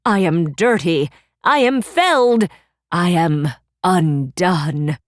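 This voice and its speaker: A vain teen prince, as a woman reader puts him on, loud and drawn out with grand, theatrical pomp. He sits a little above the reader's own pitch in a dry, close sound. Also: lively intonation, slow, no echo. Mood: melodramatic. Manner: pompous and theatrical. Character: vain, showy teenage prince boy. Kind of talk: storybook read-aloud.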